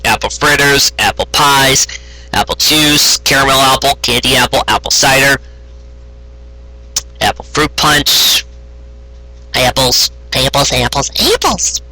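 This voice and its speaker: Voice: medium pitch, lively intonation, a little slow, long pauses, sharp tone, no room sound, poor audio. An amateur narrator reading a story expressively, with occasional stumbles and self-corrections.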